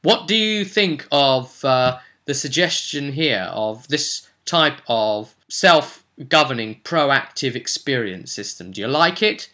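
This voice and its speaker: A man's voice: medium pitch, big pitch swings, slow, few pauses, bright, forceful tone. A man giving an informal advice monologue as a game master and host, chatty and emphatic, often putting rhetorical questions to the listener.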